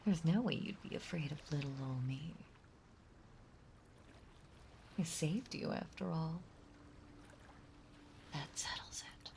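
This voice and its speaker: A woman playing a possessive, playful yet menacing mermaid in an intimate roleplay audio drama, speaking in a soft, breathy, halting and teasing way. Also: deep voice, a little hiss or hum. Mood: sweetly possessive. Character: possessive yandere mermaid, playful, sweetly menacing young female. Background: ocean ambience sound effects.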